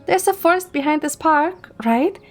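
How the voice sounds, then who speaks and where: A woman's voice: sits high, moderate pace, faint music behind, lightly acted. A woman acting a playful, chatty, curious young woman who teases her friend, in an amateur audio drama. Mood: excited, curious. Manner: teasing, animated, expressive.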